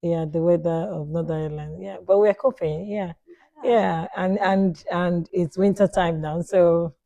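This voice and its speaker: A woman hosts a relaxed panel chat in a low, mellow voice. She circles back on her words and leans on plenty of "um"s as she keeps the talk moving. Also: unhurried, vocal fry, dull audio. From Nigeria. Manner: repetitive, hesitant with many fillers. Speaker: podcast host. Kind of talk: casual panel discussion.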